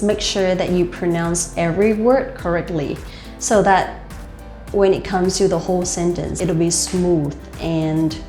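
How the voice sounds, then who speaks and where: Young Vietnamese-accented woman coach, lowish voice, loose chatty delivery, roomy room. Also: mellow. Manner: loose, repetitive, many "like" fillers. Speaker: host and life coach. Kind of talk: casual speaking tips to camera. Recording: roomy with faint music.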